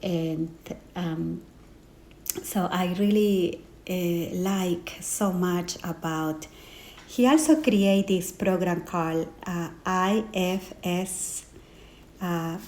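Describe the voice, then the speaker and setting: A middle-aged woman from Peru with a Peruvian Spanish accent, a Spanish speaker talking unhurriedly in English as a guest life coach, pausing to search for words. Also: fairly low pitch, darkish tone. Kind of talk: casual podcast conversation. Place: indoors on a trip in Utah mountains.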